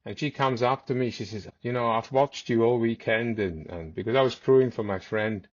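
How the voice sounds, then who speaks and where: A man's voice: mid-range voice, normal speed, no room sound, highs cut off. A man in his forties, raised in South Africa and a native Swiss German speaker, telling stories as a podcast guest, rambling with many restarts. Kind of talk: casual storytelling.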